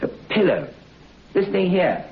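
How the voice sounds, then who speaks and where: An actor playing a curious, fumbling hotel guest in an old radio drama. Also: male voice, high voice, wildly swooping pitch, measured pace, heavily acted. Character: curious, friendly male English hotel guest. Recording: dull old radio recording.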